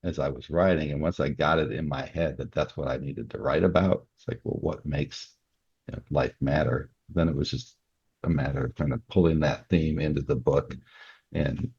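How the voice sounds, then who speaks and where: An older man with an extremely low voice that has a husky edge. He speaks as an interview guest, explaining things in a conversational way. The audio is somewhat dull and lo-fi. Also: fairly even intonation, moderate pace, a little mellow. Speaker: podcast guest, novelist. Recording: dull, band-limited audio.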